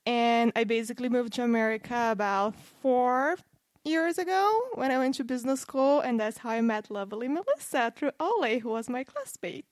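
Brazilian woman, bright-pitched, dry close sound. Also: lively intonation, normal speed, no echo. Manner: friendly, explains with fillers and restarts. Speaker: podcast guest. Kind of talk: casual interview chat.

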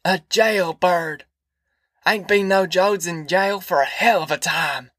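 A woman's voice: on the low side, takes it slowly, a bit bright, no room sound, clean studio sound, voice pushed up. A woman voicing a boastful, cussing old grandfather with a folksy rural drawl.

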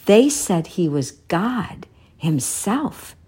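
A woman's voice: fairly low pitch, sing-song, drawn-out words, husky, voice pushed up, heavily acted. Woman doing a kindly old shoemaker. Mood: wistful. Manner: gentle, wistful, musing.

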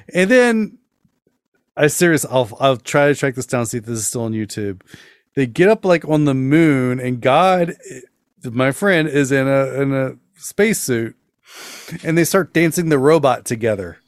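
A man's voice: medium pitch, animated, a little slow, clean tone, no echo. A man hosting a podcast, telling stories and anecdotes in a casual way on a close microphone. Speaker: podcast host and storyteller. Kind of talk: spooky storytelling and casual anecdotes.

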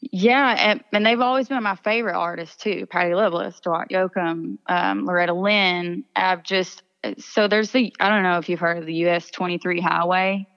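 A woman's voice: fairly low pitch, fairly even intonation, moderate pace, few pauses, a bit bright. A young woman from Kentucky with a Kentucky accent, a singer-songwriter chatting easily in an interview and laughing often. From Kentucky, USA. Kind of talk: casual interview chat. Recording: dull lo-fi audio.

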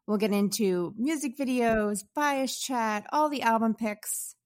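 A woman co-hosts a podcast and opens the episode in a bright, upbeat way. She moves through the lineup briskly and keeps the tone easy and casual. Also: a little high. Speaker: podcast co-host.

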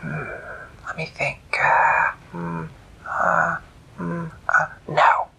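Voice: medium pitch, flat delivery, drawn-out words, gravelly, sharp tone, pitched above the performer's own voice, heavily acted. A storyteller voicing a male jackal trickster who speaks in a smug, teasing whisper.

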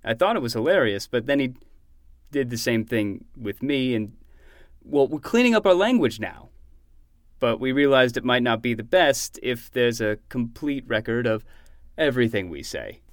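Casting: man as laid-back adult uncle, mid-pitched, wry, conversational, recorded dry. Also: sing-song, normal speed, no room sound, natural voice. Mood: wry, amused. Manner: wry, casual. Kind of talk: conversational fiction podcast acting.

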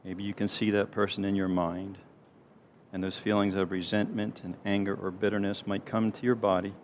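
Low-voiced man leading a gentle guided meditation. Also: flat delivery. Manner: gentle, unhurried, soothing delivery with pauses. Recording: clear background noise, low rumble.

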